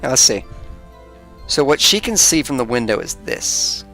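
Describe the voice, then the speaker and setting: A man's voice: medium pitch, big pitch swings, moderate pace, creaky, sharp tone. A man hosting a let's play, casually commenting on the game as he plays. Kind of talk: casual game commentary, reading dialogue aloud. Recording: music under the voice.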